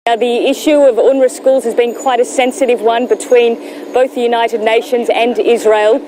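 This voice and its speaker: A woman reporting live as a news correspondent. Her voice sits on the high side, and she explains in a measured way. There is clear background noise of vehicles on location. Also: steady tone, dark tone, low-quality recording. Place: on location in Gaza.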